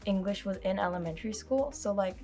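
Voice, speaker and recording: A woman's voice: medium pitch, brisk, warm tone, soft background music. A teenage girl whose first language is Korean, speaking casually in an interview and saying "like" often. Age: teens. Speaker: high school student.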